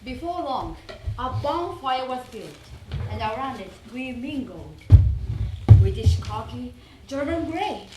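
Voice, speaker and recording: A woman delivers a dramatic recitation with earnest emphasis on her phrases. Her voice sits high. The recording has a clear room echo and a little background noise. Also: very animated, takes it slowly. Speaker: readers theater performer. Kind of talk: dramatic storytelling recitation of a letter.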